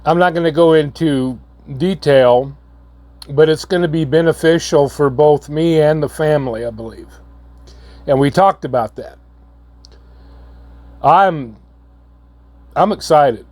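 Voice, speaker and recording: A hoarse man, coming down with a cold, giving a halting, earnest update to his viewers. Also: fairly high pitch, darkish tone, no room sound. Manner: halting, repeats words, slow and earnest. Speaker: channel host. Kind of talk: casual vlog update.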